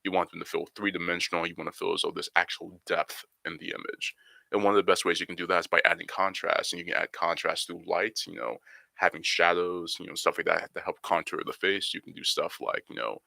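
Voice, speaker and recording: A young man, a photographer guest on a podcast, explaining his craft in a relaxed way with lots of "you know" fillers. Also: low-pitched, fast talker, vocal fry, no room sound. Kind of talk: podcast interview answers.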